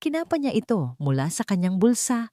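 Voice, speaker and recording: A woman reading a scripted love drama as a narrator, with emotive, deliberate phrasing. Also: mid-range voice, very animated, warm tone, no room sound, studio quality. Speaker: story narrator.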